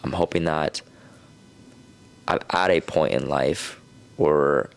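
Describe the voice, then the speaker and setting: Deep-voiced young man, reflective. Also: fairly even intonation, slow, vocal fry. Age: 20s. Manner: reflective, thoughtful. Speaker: podcast guest, boyfriend. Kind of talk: casual chat.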